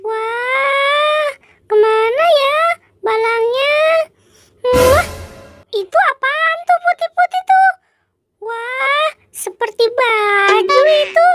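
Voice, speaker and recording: A man's voice: very high-pitched, drawn-out words, over loud music, full character voice. A man voicing a curious, bumbling character in a children's toy story, exclaiming and wondering aloud. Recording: very noisy background.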